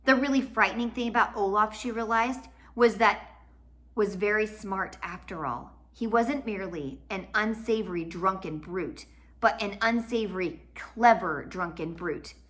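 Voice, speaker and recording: A woman narrating a children's novel aloud in an expressive, dramatic and emphatic storybook style. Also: mid-range voice, a little slow.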